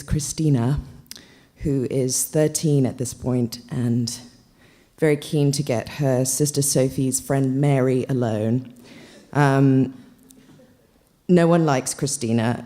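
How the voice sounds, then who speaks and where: A woman's voice: extremely low pitch, dark tone. A woman reading fiction and literary commentary aloud as narration at a literary event.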